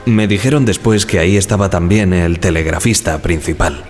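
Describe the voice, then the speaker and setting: A man playing a subdued Titanic wireless operator giving halting, deferential testimony in a radio drama. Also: deep voice, soft background music, natural voice. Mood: hesitant, respectful. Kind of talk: dramatized testimony over music.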